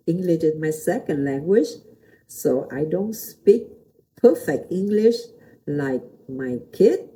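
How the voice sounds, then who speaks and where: A woman's voice: on the low side, dark tone, rough recording. A middle-aged woman from Vietnam with a Vietnamese accent gives a motivational talk in simple non-native English, using short repeated phrases and questions to the listener. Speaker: host, motivational teacher and pastor.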